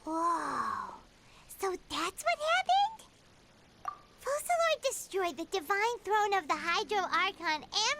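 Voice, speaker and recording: A woman's voice: very high-pitched, heavily acted. A woman voicing a tiny, curious floating fairy companion in a game, speaking of herself in the third person and asking eager questions. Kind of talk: game character dialogue. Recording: game audio with loud music behind. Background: music.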